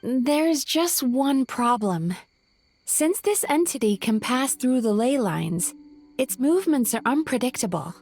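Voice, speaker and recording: Gentle female scholar for a game, high-pitched, leisurely, modest, over music. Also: expressive, a little slow, heavily acted. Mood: thoughtful. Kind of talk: scripted video game voice acting. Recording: music behind the voice.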